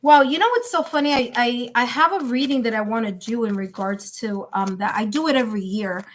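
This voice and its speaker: A woman's voice: fairly high pitch, a little fast, a little hiss or hum. A woman with a New York accent giving live tarot readings in a chatty, reassuring way, thinking aloud with fillers. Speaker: tarot reader.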